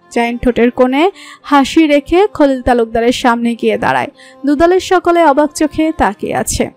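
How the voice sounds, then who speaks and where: A woman narrates a scripted romantic story in a Bangladeshi accent. Her voice is distinctly high, and quiet music sits underneath the reading.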